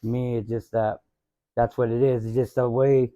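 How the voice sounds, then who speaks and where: A man's voice: medium pitch, level tone, normal speed, no echo, dull audio. A man on a podcast, speaking earnestly and often saying "you know what I mean". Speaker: podcast guest. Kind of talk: casual chat.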